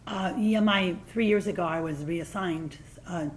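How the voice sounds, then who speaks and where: Low-voiced woman, commission clerk. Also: a little hiss or hum. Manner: halting, explanatory. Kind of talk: public meeting discussion.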